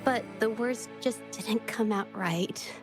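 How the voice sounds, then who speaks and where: A woman playing an earnest, shy young woman painter in a video game, speaking in a gentle, hesitant way. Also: fairly high pitch, slow, music in the background. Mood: earnest, wistful. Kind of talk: video game story dialogue acting.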